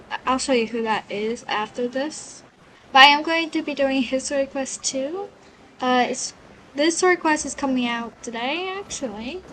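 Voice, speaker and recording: A woman's voice: sits high, bright, forceful tone, rough recording. A woman chatting casually as she gives commentary on her gameplay. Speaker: game streamer. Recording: noticeable background noise.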